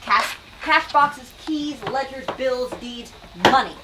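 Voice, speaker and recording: A woman leading a lively book talk in a bookstore, asking questions and switching into dramatic readings. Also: high-pitched, slow pace. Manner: animated, asks questions, shifts into dramatic reading. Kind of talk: dramatic reading and discussion with children. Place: bookstore event space. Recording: distant mic, noisy room.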